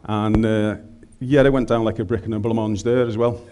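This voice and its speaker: A man's voice: fairly low pitch, fairly even intonation, moderate pace, mellow. Scouse man preaching with humor. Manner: humorous, conversational, colloquial. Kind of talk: sermon.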